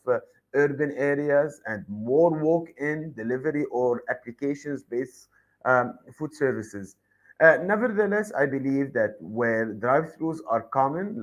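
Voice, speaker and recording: A man in a mid-pitched voice, giving an expert interview answer. He lays out his points in order, calm and measured, and walks the listener through each one. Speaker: expert guest.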